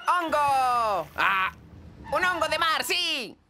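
A woman voicing an excitable little boy kitten in a Latin American Spanish cartoon dub. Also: high-pitched, drawn-out words, music in the background, exaggerated voice. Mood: excited. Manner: excitable, exclaiming. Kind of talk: animated children's cartoon dub.

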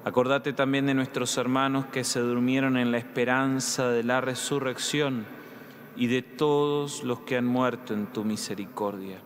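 A young man with an Argentine accent, a priest reading prayers and giving communion instructions in measured tones. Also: medium pitch, fairly even intonation. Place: large church. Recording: long church reverb.

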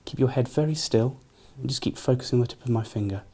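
A man's voice: medium pitch, fast talker, warm tone, breathy edge. A young man with a British accent, a doctor giving courteous exam instructions in a small consulting room. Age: young adult. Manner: courteous, frequently thanking. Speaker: doctor, neurology registrar. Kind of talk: polite clinical exam instructions. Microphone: camera across the room.

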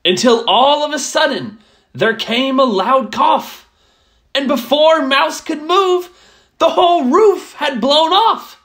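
Slow, expressive woman storyteller. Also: high voice. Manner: expressive, measured phrasing. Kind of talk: rhyming children's picture book read-aloud.

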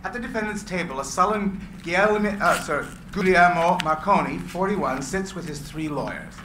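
A man's voice: sits high, sharp tone. A man narrating stage directions at a live screenplay reading. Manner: brisk, matter-of-fact. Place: gallery room with seated audience. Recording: echoey room, clear hiss.

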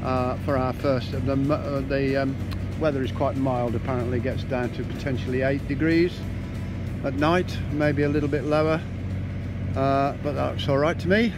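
A man casually narrating his boat's arrival at a marina, relaxed and full of ums and uhs. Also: mid-range voice, normal speed, loud music behind the voice. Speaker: sailing vlogger. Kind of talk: casual narration over footage.

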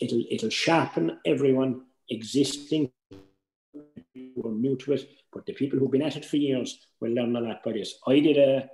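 An older Irish man talks informally to a workshop from a roomy indoor space on a video call. His voice is gravelly with plenty of fry, and he is earnest and emphatic, repeating himself to press a point. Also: medium pitch, dark tone.

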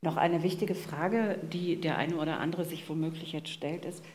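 Female live podcast host, middle-aged, lower register, dramatic true-crime storyteller, faint background noise. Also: steady tone, moderate pace, a little mellow. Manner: dramatic rhetorical questions. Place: on stage in a vaulted hall with audience. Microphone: headset.

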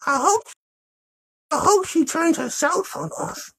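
A man's voice: very high-pitched, slow pace, vocal fry, pushed and bright, pitched above the performer's own voice, heavily acted. A man voicing a sassy, chatty young girl puppet in a childlike, fussy manner for a puppet show.

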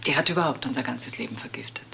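A betrayed middle-aged wife in a crime radio play. Her voice is creaky and sharp, and she confesses haltingly, with bitterness in her words. There is faint noise behind her. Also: a bass voice, heavily acted. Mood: strained confessing. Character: bitter betrayed wife and mother of two sons.

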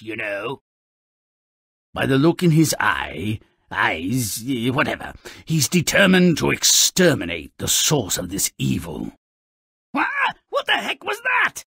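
Gravelly, sneering little gnome narrator. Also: male voice, medium pitch, sharp tone, no room sound, studio quality, full character voice. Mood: mischievous, then gleeful, mocking. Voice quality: raspy, gravelly. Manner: sardonic, pompous, alliterative, relishing each word. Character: gruff, mischievous, sneering gnomish storybook narrator. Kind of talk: theatrical video game narration.

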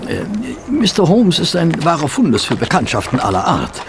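A man's voice: fairly high pitch, huge pitch swings, moderate pace, noisy background. A man playing a genteel, loyal companion of a detective in a German audio drama, courteous and a little hesitant. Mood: courteous, bemused.

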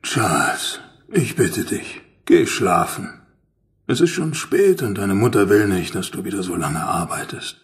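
A man's voice: on the low side, lively intonation, measured pace, slightly rough. An actor playing a concerned middle-aged father in an audio drama. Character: concerned middle-aged father urging his son to rest.

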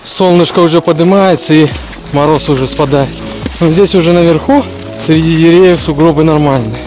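A man's voice: fairly high pitch, brisk, over music. A man in his forties narrating a casual hiking vlog as he walks through a snowy pine forest, filmed on an action camera he holds at arm's length. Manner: chatty, breathless while trudging through snow. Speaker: vlogger. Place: snowy pine forest outdoors in deep frost. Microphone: action camera held at arm's length.

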